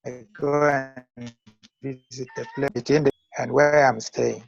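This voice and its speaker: An older man in his sixties with a Ugandan accent, giving measured, formal interview answers as a school founder. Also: medium pitch, fairly even intonation, creaky, faint music behind. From northern Uganda. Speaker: school founder and retired teacher. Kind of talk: interview answers on a video call. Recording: muffled lo-fi call audio.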